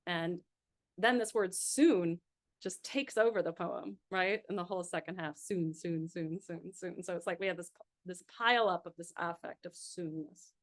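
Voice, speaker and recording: A woman's voice: fairly low pitch, moderate pace. A woman instructor reading a poem aloud and leading a seminar discussion, thinking aloud with fillers.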